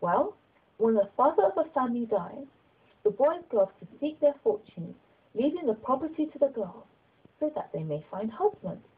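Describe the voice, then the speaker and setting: A woman voicing a kindly, humble old bishop in an audiobook, speaking in an earnest, measured way with gentle persuasion. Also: fairly high pitch, very animated, husky, narrow, tinny audio. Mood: earnest, exhorting. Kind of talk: audiobook character dialogue.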